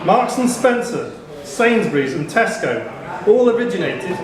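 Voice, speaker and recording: Guy reading pub quiz questions, high voice, really echoey room, punters behind. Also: very animated, a little slow. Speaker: quiz host and question reader. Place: indoor pub quiz venue. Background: pub crowd.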